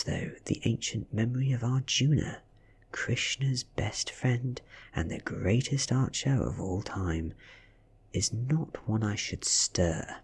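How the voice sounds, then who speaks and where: A man with a British accent softly narrating a story in ASMR style, breathy and often near a whisper. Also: medium pitch. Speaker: audiobook reader.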